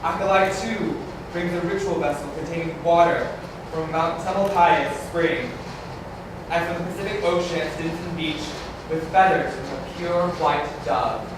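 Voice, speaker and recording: A man's voice: on the high side, moderate pace. A man narrating stage directions at a staged reading in a plain, matter-of-fact way. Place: large community hall. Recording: echoey hall from far off, noisy.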